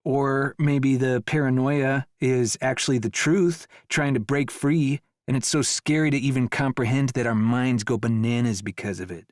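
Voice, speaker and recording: A man's voice: medium pitch, steady tone, moderate pace, few pauses, no echo, lightly acted. A man acts out a laid-back guy who makes props and is sure of his own skill. He sounds easygoing and self-assured, with a paranoid streak, in a closely recorded, dry audiobook drama. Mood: earnest, conspiratorial. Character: easygoing, paranoid male prop maker, cocky about his craft. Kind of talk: full-cast audiobook dialogue acting.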